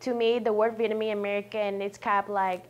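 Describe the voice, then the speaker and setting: A woman's voice: medium pitch, level tone, fairly quick. Hesitant young woman. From California. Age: young adult. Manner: hesitant, searching for words. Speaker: student interviewee. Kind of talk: unscripted reflective answers.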